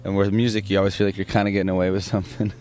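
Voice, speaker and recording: A man's voice: low-pitched, little pitch movement, very fast, a little mellow. A man, singer of a rock band, answering interview questions in a rambling, casual way with many restarts and fillers.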